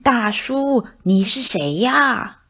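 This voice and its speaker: A woman's voice: on the high side, expressive, slow pace, a bit bright, slightly rough, exaggerated voice. A woman voicing innocent little mouse children in a storybook, eager, friendly and childlike. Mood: curious, friendly.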